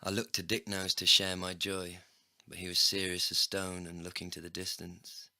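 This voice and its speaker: Male narrator, low and gravelly with a hard bright edge, expressive comic storytelling. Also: normal speed, dry recording, studio quality. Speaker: narrator and lead character. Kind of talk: scripted first-person comedy story narration.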